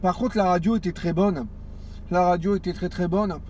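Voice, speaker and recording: High-voiced man around forty, chatting on radio. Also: fairly even intonation, moderate pace, darkish tone, slight background noise. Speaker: amateur CB radio operator. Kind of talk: casual radio contact chat.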